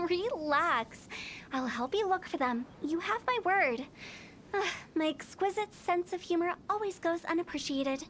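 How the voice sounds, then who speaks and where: A woman's voice: very high-pitched, lively intonation, measured pace, a bit bright, slight background noise, exaggerated voice. A woman voicing an eccentric, cheerfully morbid young funeral director in a game, with playful teasing. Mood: breezy, teasing. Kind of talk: video game character acting.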